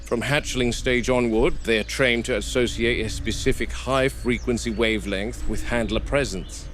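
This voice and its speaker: A man playing a serious, seasoned game warden who narrates a field log in a measured, matter-of-fact way. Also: mid-range voice, fairly even intonation, fairly bright tone, close to an everyday voice. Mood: calm, observant. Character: serious seasoned male game warden logging work with dinosaurs. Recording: noisy VHS-style field recording.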